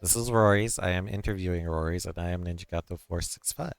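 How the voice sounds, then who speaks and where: A man's voice: sits low, fast, vocal fry, dry recording. A man hosting a stream interview, joking playfully and asking questions between short replies. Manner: playful, jokey, brief replies.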